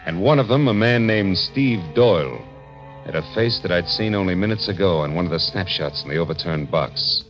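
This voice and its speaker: Hard-boiled American private eye, very low register, tough sardonic 1940s radio style, dulled vintage sound with music bed. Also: male voice, expressive, normal speed. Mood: wry narrating. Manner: clipped, sardonic delivery. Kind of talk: 1940s radio acting, first-person noir narration. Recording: muffled old radio recording with music.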